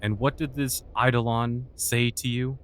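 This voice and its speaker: A man's voice: medium pitch, fairly even intonation, a little slow, slight background noise, close to an everyday voice. An actor playing a scornful, exasperated male warlock in a fantasy audio drama, scolding and sarcastic. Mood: wary, annoyed. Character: irritable, scornful male dark magician and warlock. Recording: music behind the voice. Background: music.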